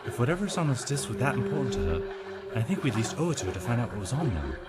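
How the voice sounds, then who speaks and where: An actor playing an earnest, principled doctor and adventuring hero in an audio drama, explaining things carefully. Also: male voice, medium pitch, big pitch swings, rapid-fire, close to an everyday voice. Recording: loud music under the dialogue.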